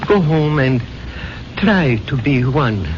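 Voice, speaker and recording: An actor playing an enigmatic Indian spiritual teacher in a 1970s radio drama, speaking formally and with solemn, measured certainty. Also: male voice, mid-range voice, animated, background noise. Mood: solemn, insistent. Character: serene, enigmatic male Indian spiritual guru. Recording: dull vintage radio recording, loud music behind.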